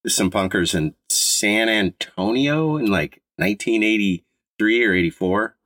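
A man in his fifties from Colorado, co-hosting a podcast in casual chat, talking with a slight stutter and halting restarts. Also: fairly low pitch, a bit bright, dry recording, studio quality. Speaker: podcast co-host.

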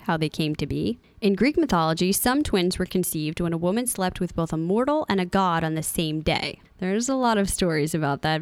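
A woman's voice: on the low side, no room sound. A woman co-hosting a podcast, mixing casual chat with a prepared, informative segment full of asides. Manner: informative, reads notes with frequent asides and laughs. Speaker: podcast co-host.

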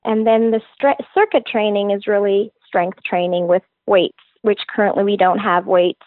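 A woman's voice: mid-range voice, steady tone, normal speed, no echo. A woman who works as a fitness trainer and author, earnestly and enthusiastically explaining her work in an interview. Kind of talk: interview answers. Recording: tinny phone-like line, dry.

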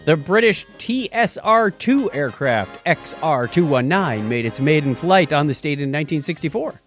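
A man with a fairly high, very animated voice co-hosts a casual podcast. He moves between reading items aloud and tossing in jokey asides and wordplay, with faint music in the mix. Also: normal speed. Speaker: podcast co-host. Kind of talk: casual banter mixed with reading listener mail and history items.

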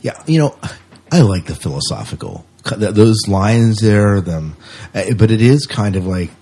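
A man with a low, slightly rough and husky voice co-hosts a podcast. He chats casually and unscripted, often doubling back to repeat a phrase. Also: expressive, measured pace, mellow. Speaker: podcast co-host.

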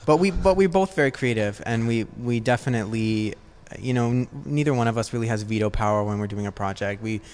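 A man's voice: medium pitch, brisk, darkish tone, smooth. A man giving casual interview answers as a podcast guest, hesitant and searching for his words. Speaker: podcast guest, design business partner.

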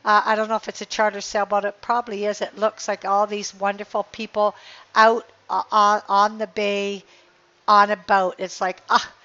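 A woman's voice: mid-range voice, flat delivery, moderate pace, a bit bright, muffled recording. An older woman, a life coach, talking casually and earnestly on her podcast while losing her voice by the ocean. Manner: earnest, rambling, inspirational, with restarts. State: slightly hoarse, losing her voice. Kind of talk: casual motivational monologue. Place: outdoors by the ocean.

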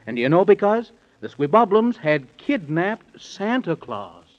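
A man's voice: sits high, sing-song, unhurried. A man tells a bedtime tale in bouncing rhyme, his delivery singsong and full of swoops. The sound is dull and muffled, like an old 1930s radio broadcast. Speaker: narrator. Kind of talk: rhyming 1930s radio storytelling.

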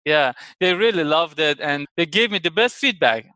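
A man's voice: a little high, animated, normal speed, pushed and bright, no echo. A man in his thirties from Iran, a guest chatting casually in an interview, laughing often and checking in with "correct?". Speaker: guest, company founder.

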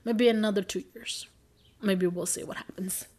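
A woman's voice: medium pitch, steady tone, normal speed, a little mellow. A young adult woman co-hosting a podcast, chatting casually, rambling and correcting herself with frequent giggles. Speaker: podcast co-host. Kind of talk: casual chat.

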